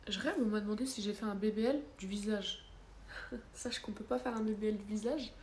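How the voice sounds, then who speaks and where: A woman's voice: mid-range voice, brisk, a little mellow. Young woman with a cold, casual phone livestream from a sofa, roomy echo, faint background hiss. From France. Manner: chatty, reads and answers comments aloud. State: has a cold. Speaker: livestreamer answering viewer comments. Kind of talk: casual live chat. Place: living room, seated on a sofa, indoors. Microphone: phone camera at arm's length. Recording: echoey room with faint background noise.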